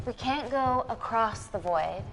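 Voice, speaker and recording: An actress playing a clever, composed scientist trapped in a lab, in naturalistic film style. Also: female voice, a little high, lively intonation, unhurried, fairly bright tone, rough recording. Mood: composed, determined. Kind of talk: naturalistic live-action game film acting. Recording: film audio with music behind. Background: clear film music.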